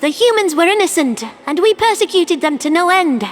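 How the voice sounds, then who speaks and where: A man's voice: very high-pitched, lively intonation, brisk, a bit bright, soft background music, voice pushed up. A man voicing a dignified, contrite female avian alien leader with a formal, penitent manner. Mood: contrite, resolved.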